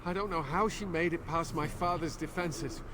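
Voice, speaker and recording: A man's voice: fairly high pitch, moderate pace, noisy background, close to an everyday voice. An actor playing an anxious, bitter middle-aged village man pleading earnestly for his daughter in a video game. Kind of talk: video game dialogue acting.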